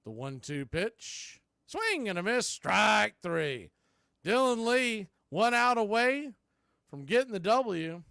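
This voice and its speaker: A man calling a baseball game live as a play-by-play announcer, mixing clipped pitch calls with folksy, casual asides. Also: very animated, slow pace, vocal fry, pushed and bright, dry recording, studio quality. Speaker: baseball play-by-play announcer for a fan radio stream.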